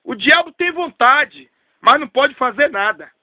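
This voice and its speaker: A man's voice: very high voice, lively intonation, a little slow, pushed and bright, no echo. A man, preaching an emphatic, repetitive testimony sermon. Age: 30s. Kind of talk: fervent storytelling sermon.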